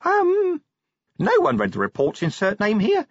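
A man voicing a tiny, fussy imp with a high, chirpy voice that leaps about all over the place. It talks in a prim, literal-minded and eager way. The recording is dry and a little dull. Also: huge pitch swings, a little slow, dry recording, heavily acted. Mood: prim, helpful. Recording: dry, slightly dull close-mic.

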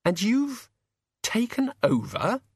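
A male reader puts on a sharp-minded, indignant young woman for an audiobook. The voice sits far up high and swoops as it demands and doubts. The sound is dry and close. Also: huge pitch swings, slow, slightly rough, no room sound, exaggerated voice. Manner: demanding, incredulous. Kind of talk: audiobook character voice.

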